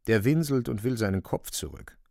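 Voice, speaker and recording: An actor narrating a literary radio drama as a grave storyteller, measured and dramatic. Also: male voice, lowish voice, lively intonation, gravelly, a little mellow, dry recording, clean studio sound. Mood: grave, suspenseful.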